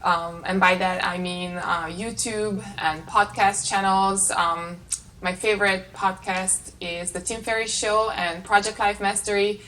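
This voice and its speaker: A woman's voice: medium pitch, steady tone, unhurried, pushed and bright, low-quality recording. A woman in her late twenties from Hungary with a Hungarian accent, talking casually to camera as a vlogger. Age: late 20s. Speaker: vlogger, channel host. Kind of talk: casual talk to camera answering tag questions. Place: small carpeted bedroom at home.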